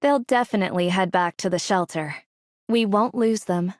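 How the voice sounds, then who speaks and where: A cold, calculating woman of an anime game, played by an actress. Her voice sits at a medium pitch, close and dry. She speaks with controlled condescension and a cutting edge. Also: normal speed, dry recording, lightly acted. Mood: cold, commanding. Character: cold, scornful, scheming female mastermind. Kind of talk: scripted anime game dialogue.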